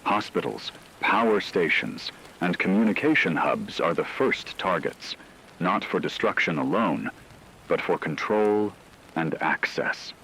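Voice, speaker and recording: Deep, grave man playing a radio news anchor. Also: a bit bright, slight background noise, exaggerated voice, rough recording. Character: grave male radio news anchor reporting a werewolf apocalypse. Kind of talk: scripted emergency news broadcast.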